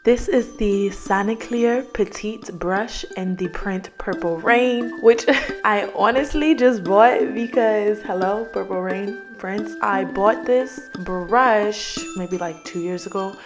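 A woman's voice: medium pitch, slow, over music. A woman in her twenties narrating her nightly skincare routine in a neat, upbeat voice-over. She is ill and losing her voice. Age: 20s. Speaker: beauty vlogger.

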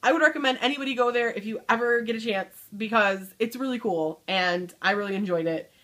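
A woman's voice: fairly high pitch, a little fast, fairly bright tone. A woman in her thirties chatting casually to camera in a small room at home, upbeat and chatty, trailing off at the ends of her thoughts. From Michigan. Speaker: book vlogger.

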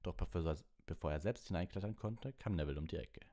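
A man's voice: deep voice, fast, dark tone. A man reading a story aloud as an audiobook narrator.

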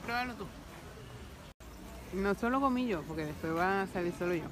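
A woman's voice: mid-range voice, lively intonation, normal speed, background noise. A young woman chatting upbeat in a casual travel vlog, filming herself on a phone at arm's length at an outdoor Christmas market. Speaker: travel vlogger. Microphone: phone held at arm's length. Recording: busy outdoor market with loud music and crowd. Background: music and crowd.

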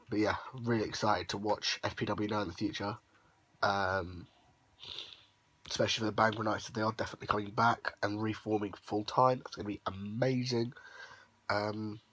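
A young man records a late-night vlog on his phone while lying in bed. His voice is low and rough, husky from shouting, and he sounds a bit sniffly and under the weather. He rambles along with plenty of ums, upbeat despite it. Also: fairly even intonation, fairly quick, no room sound, poor audio. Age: young adult. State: hoarse voice; unwell, sniffly. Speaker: vlogger. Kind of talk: casual vlog recap. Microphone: phone held close at arm's length.

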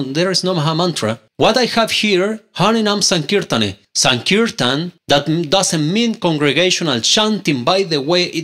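A man's voice: high-pitched, a bit bright. A man in his thirties with a Spanish accent, a native Spanish speaker teaching scripture online, speaking emphatically and indignantly with repeated rhetorical questions into a close microphone. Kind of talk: online scripture study, argumentative. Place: indoor room, screen-share with webcam. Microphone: pink handheld mic on stand, close.

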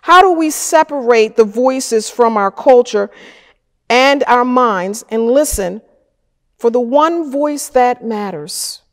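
A middle-aged woman pastor preaching a sermon from a church lectern, with slow, measured delivery and deliberate pauses. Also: fairly high pitch, expressive. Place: wood-paneled church chancel, at a lectern. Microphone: fixed camera at a distance. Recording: church with a long reverb tail.

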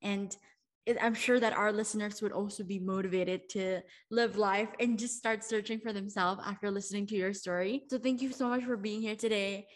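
A woman's voice: mid-range voice, fairly even intonation, moderate pace. A young woman hosting a podcast over a video call, effusive and full of "like" fillers. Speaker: podcast host. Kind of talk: casual interview chat. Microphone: headset and studio mic on video call.

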